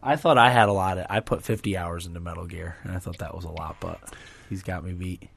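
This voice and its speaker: A man hosting a casual gaming podcast, hoarse and raspy from a recently lost voice, rambling with many restarts. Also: low voice, fairly even intonation, fast, fairly bright tone, a little hiss or hum. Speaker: podcast host.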